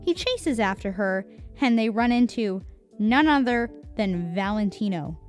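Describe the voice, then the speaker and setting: A woman's voice: mid-range voice, expressive, a little slow. A woman reads a scripted recap narration in a playful, wry manner. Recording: music bed under the voice. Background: background music.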